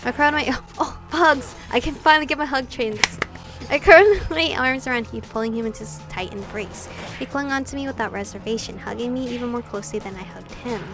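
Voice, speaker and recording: A woman hosting a visual novel let's play, reading aloud and reacting in an expressive, sweary, giggly way. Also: on the high side, fairly quick. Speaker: gaming video host. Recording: music playing behind the voice. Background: background pop music.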